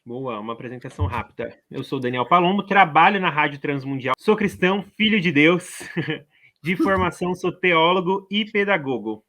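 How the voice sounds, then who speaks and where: A man's voice: on the high side, lively intonation, moderate pace. A man with a Brazilian Portuguese accent, co-hosting a radio show in casual conversation, chatty and full of tag questions. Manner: chatty, warm-hearted, uses many tag questions. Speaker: radio co-host. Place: radio studio.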